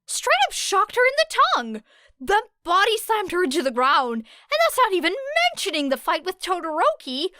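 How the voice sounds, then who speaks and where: A woman voicing an excitable, easily confused teenage boy in an audiobook, with casual, exclamatory slang. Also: extremely high pitch, normal speed, no room sound, studio quality, voice pushed up, heavily acted. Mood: excited. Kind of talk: audiobook character voice.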